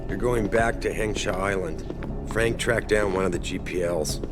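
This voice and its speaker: An actor playing a gruff, fatherly male company boss who gives brisk orders, in a video game cutscene. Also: low voice, loud music behind the voice, natural voice. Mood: urgent. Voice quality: brisk, commanding.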